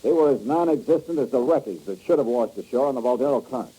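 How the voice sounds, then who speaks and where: An actor playing a tough, wry insurance investigator in a 1950s radio detective drama, blunt and sardonic in delivery. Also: male voice, mid-range voice, expressive, fast, mellow, slightly rough, rough recording. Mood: sardonic accusing.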